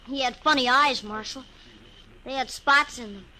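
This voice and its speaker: A woman's voice: high voice, normal speed, bright, forceful tone, a little hiss or hum, low-quality recording. A woman playing a plain-spoken frontier woman in an old radio western, simple and direct. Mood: earnest. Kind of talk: 1950s radio western acting.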